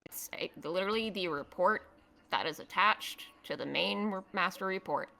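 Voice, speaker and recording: A woman's voice: on the low side, normal speed, creaky, sharp tone. A woman giving improvised testimony as a witness in a roleplay trial, insistent and pushing back on questions.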